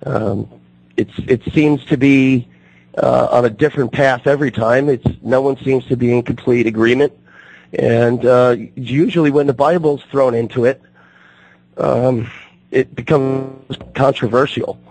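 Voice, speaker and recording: A man hosts a radio talk show while his voice is still on the mend. His tone is gravelly and husky. He talks haltingly, with frequent fillers and restarts, at an even middle pitch. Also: no room sound, rough recording. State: recovering from losing his voice.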